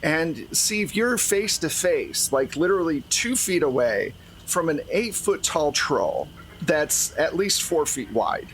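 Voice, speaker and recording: A man's voice: a little high, big pitch swings, takes it slowly, fairly bright tone, slight background noise, poor audio. A man running a tabletop roleplaying game as game master, narrating and explaining in a conversational way.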